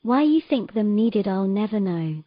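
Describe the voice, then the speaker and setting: A woman acts out a mermaid from a fantasy audio drama, composed and frank. Her mid-pitched voice coaxes and teases plainly. The sound is very dry and a little dull. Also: expressive, measured pace, no echo. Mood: teasing, firm. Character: calm, frank, teasing female mermaid in mythical fantasy.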